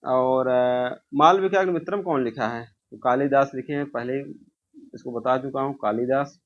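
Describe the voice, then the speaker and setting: A man's voice: mid-range voice, normal speed. Male exam tutor, dull lo-fi sound. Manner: repeats key answers, asks and answers own questions. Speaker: exam-prep teacher. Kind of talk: informal quiz-review lecture, question then answer.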